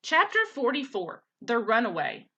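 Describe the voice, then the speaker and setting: A woman teacher reading a children's storybook aloud in an expressive way. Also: a little high, normal speed, breathy edge, muffled recording.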